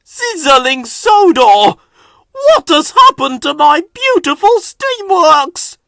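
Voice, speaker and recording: A man's voice: extremely high pitch, sing-song, slow pace, vocal fry, sharp tone, no echo, voice pushed up, exaggerated voice. A man voicing a kindly, patient foreman engine in a children's storybook. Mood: shocked.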